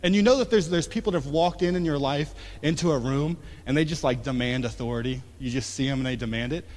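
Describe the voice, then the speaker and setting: A man in his late twenties preaching a sermon as pastor, talking conversationally and swinging from emphatic to quiet. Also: medium pitch, fast. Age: 20s. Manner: conversational, rising to emphatic then dropping soft. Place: church sanctuary. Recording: long church hall reverb.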